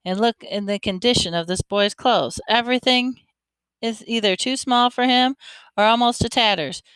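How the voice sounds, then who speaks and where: A woman's voice: on the high side, normal speed, fairly bright tone, clean tone, no room sound, pitched above the performer's own voice, lightly acted. A woman voicing a caring, scolding middle-aged mother who fusses over a boy. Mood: motherly. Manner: fussing, motherly.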